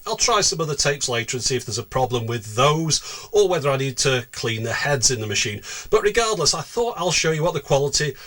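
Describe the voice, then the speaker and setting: A man's voice: medium pitch, very animated, a little fast, fairly bright tone. A man narrating a technical demonstration in an explanatory way. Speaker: guest tech video maker.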